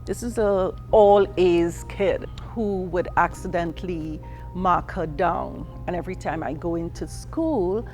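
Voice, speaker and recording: A middle-aged woman from Trinidad and Tobago tells her own story in an earnest, candid way. Her voice sits fairly low, and she slips into quick imitations of the people she quotes. Faint music plays under her words. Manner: earnest and candid, quoting people in lively asides. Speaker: interviewee. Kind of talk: personal testimony.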